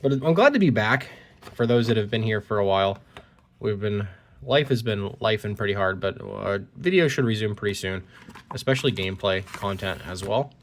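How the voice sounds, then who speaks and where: A man's voice: on the low side, expressive, normal speed. A man talking casually while opening trading cards, slightly congested from a cold, reading card text aloud, recorded on a phone. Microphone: phone recording at point-of-view angle.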